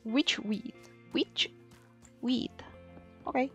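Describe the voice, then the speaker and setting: A woman thinks aloud while she plays a video game. Her voice is fairly high and she takes her time, with soft music underneath. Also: very slow. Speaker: gaming video player. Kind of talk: casual gameplay commentary, thinking aloud.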